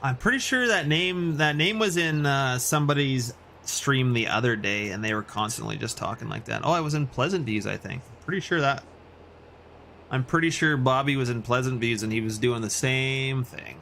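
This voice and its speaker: A man's voice: mid-range voice, moderate pace, gravelly, sharp tone, a little hiss or hum. A Canadian man chatting casually and in a rambling way as he hosts a video game livestream and responds to his chat. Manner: rambling, reacting to chat messages.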